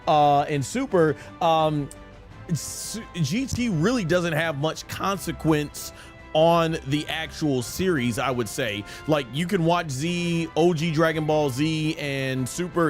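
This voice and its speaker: A man in his thirties hosting a gaming livestream, chatting casually and explaining his opinions at length. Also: a little high. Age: 30s. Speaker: streamer and host of a live gaming show. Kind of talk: casual livestream chat answering viewer questions.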